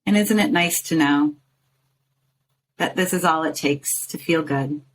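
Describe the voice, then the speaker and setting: A woman leading a guided visualization in a soothing, gently coaxing way, with short phrases and pauses. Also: sits low, slight background noise, low-quality recording. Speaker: meditation guide and show host.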